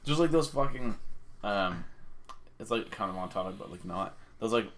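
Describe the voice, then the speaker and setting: A man's voice: on the low side, animated, moderate pace, a bit bright, slight background noise. A man chatting casually as a podcast co-host, rambling and swearing freely.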